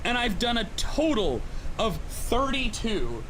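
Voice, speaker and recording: A man's voice: very high-pitched, sing-song, slow, a bit bright, a little hiss or hum, poor audio. A man giving casual, rambling reaction commentary as a host, often self-deprecating and exasperated. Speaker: host guessing Pokemon from images in a recorded challenge.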